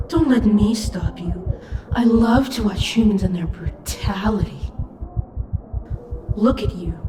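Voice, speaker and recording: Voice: medium pitch, close to an everyday voice. An actor playing a taunting, cruelly curious disembodied supernatural being in an audio roleplay, speaking in a mocking, theatrical way that savors each word. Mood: mocking amusement. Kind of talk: audio roleplay, addressed to listener. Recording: echoey, distant, with humming noise.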